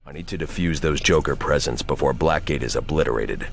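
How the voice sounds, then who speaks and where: An actor playing a stern masked vigilante hero in a video game cutscene, terse, commanding and humorless. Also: male voice, very deep voice, a little fast, vocal fry, a little hiss or hum. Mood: grim, determined. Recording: loud music over the voice.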